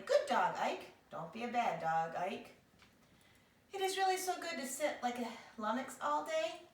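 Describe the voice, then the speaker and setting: Woman as a melodramatic, indignant dog, echoey and distant. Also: fairly high pitch. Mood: aggrieved complaining. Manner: theatrical, wheedling complaint. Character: melodramatic, self-pitying, indignant male pet dog writing letters. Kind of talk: expressive picture-book read-aloud. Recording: echoey room, distant microphone.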